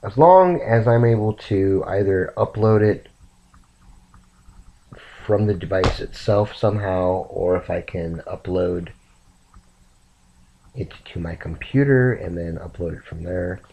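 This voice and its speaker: A man sick and rambling softly to his camera late at night in a raspy, half-whispered voice. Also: low-pitched, dull audio. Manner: slow, meandering, soft-spoken. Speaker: vlogger.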